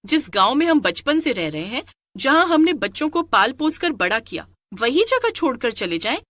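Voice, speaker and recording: Determined village neighbour woman, voiced by a female narrator: assertive, emphatic, highish and very expressive. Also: no echo. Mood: defiant, firm. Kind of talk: animated moral story character acting.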